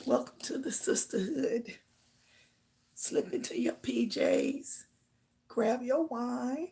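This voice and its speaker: A woman with a hoarse, raspy voice hosts her own live chat, speaking slowly with long pauses and a high-pitched tone. A gravelly fry runs through her speech, and she rambles with plenty of fillers. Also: dark tone. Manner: rambling, filler-heavy storytelling. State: hoarse from shouting. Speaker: livestream host. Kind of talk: casual chat to viewers.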